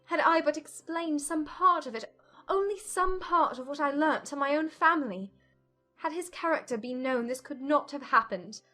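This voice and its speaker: A woman playing an agitated, self-reproaching young gentlewoman in formal dialogue from a dramatized period audiobook. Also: high voice, moderate pace, soft background music. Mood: agitated despair.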